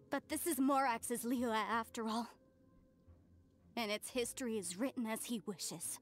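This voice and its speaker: An actress playing an earnest, zealous young woman in a video game, with a formal, impassioned manner. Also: high-pitched. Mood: bitter. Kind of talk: English game voice acting.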